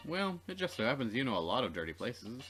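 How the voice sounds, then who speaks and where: A man reading a visual novel aloud as a Let's Play narrator, expressive and playfully dramatic. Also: medium pitch, fast talker, slightly rough, faint music behind.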